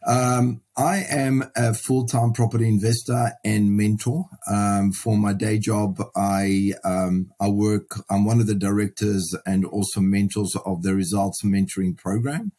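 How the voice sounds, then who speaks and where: A middle-aged man gives conversational interview answers in a low, mellow voice. His delivery is quite flat in melody and measured, with some halting and repeated words as he thinks. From Sudan and South Africa. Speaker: podcast guest, property investor and mentor.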